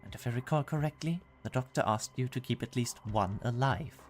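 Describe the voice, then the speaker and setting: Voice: mid-range voice, brisk, darkish tone, faint music behind, natural voice. An actor playing a stern, formal, dryly exasperated royal officer in an amateur game fan dub. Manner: formal, clipped, dryly reproachful.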